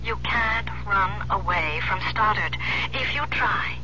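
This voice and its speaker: A woman detective, urgent and persuasive yet holding something back. Her voice sits at a middle pitch, acted in vintage radio drama style. The old recording is muffled and clearly noisy. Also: takes it slowly, pushed and bright.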